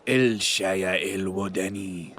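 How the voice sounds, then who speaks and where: A man playing an elderly, stern elf gatekeeper in an audio drama, speaking in a measured, formal, haughty way. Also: deep voice, steady tone, a bit bright, full character voice. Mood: accusing, solemn.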